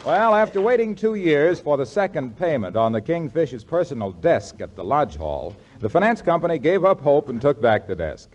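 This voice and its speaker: A man works as announcer and narrator for a 1940s radio show. His scripted delivery is slightly high in pitch and very animated. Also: moderate pace, few pauses, highs cut off, crowd noise.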